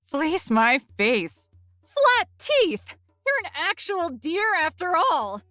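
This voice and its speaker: A woman's voice: very high voice, sing-song, slow, sharp tone, faint music behind, full character voice. A woman voicing a brash female predator creature with fangs, mocking and blunt in a playful way, in an audio story. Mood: amused. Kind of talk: acted dialogue in an audio story.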